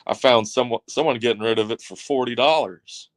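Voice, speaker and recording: A man's voice: mid-range voice, a little fast, no echo. A man in casual chat, talking with enthusiasm about his hobby.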